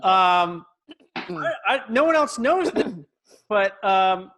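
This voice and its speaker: A man with a notably high voice talks casually to a group over a video call. He is one of the cast at a reunion table read. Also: sing-song, slow, bright, forceful tone, a little hiss or hum, low-quality recording.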